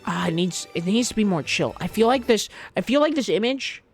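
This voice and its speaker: A woman's voice: medium pitch, expressive, a little fast. Chatty streamer woman over game music. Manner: chatty, jokey, self-interrupting, laughs often. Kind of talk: casual live-stream chat and manga reading. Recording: background music under voice.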